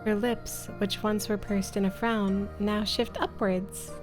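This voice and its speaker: Slow-paced, playful woman streamer. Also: medium pitch, level tone, over music. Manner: playful, affectionate with viewers. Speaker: livestreamer, visual novel player. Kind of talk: casual stream chat and read-aloud narration.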